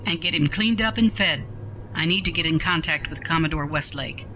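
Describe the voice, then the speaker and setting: Faint ship ambience sits behind a woman acting as a starship captain in a sci-fi audio drama. Her voice is bright and forceful, with a formal, authoritative way of giving orders. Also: low-pitched, moderate pace, a little hiss or hum, poor audio. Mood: firm, concerned. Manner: commanding, formal.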